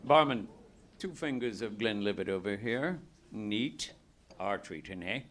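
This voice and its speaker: A man's voice: on the low side, expressive, measured pace, a bit bright. A man playing a hearty, shrewd British police inspector in a live radio play, talking in an expansive, knowing way. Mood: hearty, convivial. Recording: live theatre stage, faint noise.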